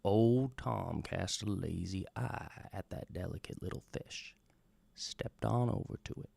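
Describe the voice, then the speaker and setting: A man storytelling in the persona of a travelling bard, theatrical and wry, dropping toward hushed tones and swelling for emphasis. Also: low voice, a little slow, creaky, breathy edge. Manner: theatrical, hushing to near whispers and swelling for emphasis; wry, conversational asides to the listener. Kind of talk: dramatic folk-tale storytelling, part radio drama.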